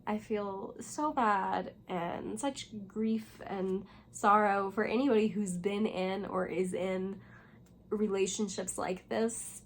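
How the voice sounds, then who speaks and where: A young woman with a hoarse voice, still recovering from losing it, chatting casually about books in a vlog and rambling with lots of fillers. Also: medium pitch, fairly even intonation, slow, a little hiss or hum. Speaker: booktuber. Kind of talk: casual reading vlog chat.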